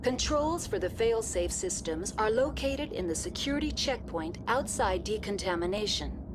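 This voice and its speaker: A woman playing a calm, procedural computer intelligence in a video game, speaking in formal, emotionless announcements. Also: mid-range voice, normal speed, background noise, close to an everyday voice. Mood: impassive. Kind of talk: scripted video game voice acting.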